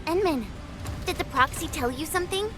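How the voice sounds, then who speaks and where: Over a musical score comes a very high, girlish female voice. She is an impassioned, loyal young heroine, emphatic and animated in every phrase. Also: fairly quick, over music. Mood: urgent. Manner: earnest, emphatic. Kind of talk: video game voice acting.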